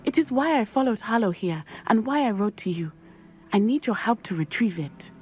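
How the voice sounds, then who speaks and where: A woman voicing a brave, earnest teenage schoolgirl in a video game, with measured, formal wording. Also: on the high side, expressive, darkish tone, faint music behind, lightly acted. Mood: serious, concerned. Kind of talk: video game cutscene acting.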